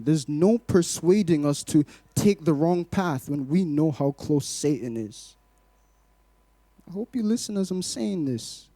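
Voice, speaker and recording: A man in his twenties from Glasgow, born in Malawi, speaking to a congregation as a guest giving testimony, in a measured, poetic way. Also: fairly high pitch, dark tone, no room sound. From Glasgow, Scotland, born in Malawi. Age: 20s. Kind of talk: rhythmic spoken-word poetry in places.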